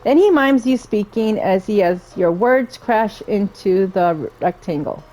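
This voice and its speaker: A woman's voice: mid-range voice, expressive, a little slow, mellow, faint music behind, low-quality recording. A woman hosting a gaming playthrough, commenting casually and reading game text aloud. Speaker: gaming video host.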